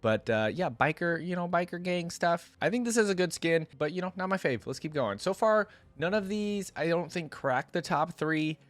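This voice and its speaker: A man hosting a reaction video, chatting informally and slangily as he thinks out loud. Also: on the high side, lively intonation, normal speed, few pauses, dry recording. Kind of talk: casual reaction commentary.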